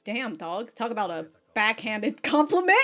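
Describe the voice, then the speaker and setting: A woman's voice: fairly high pitch, sing-song, a little slow, pushed and bright, soft background music. Casual woman giving reaction commentary. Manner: informal, slangy, reactive interjections. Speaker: reaction video host.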